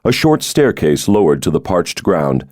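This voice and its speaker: A man's voice: sits low, big pitch swings, measured pace, darkish tone, no echo, studio quality. A man reading a thriller novel aloud as a scripted audiobook narration.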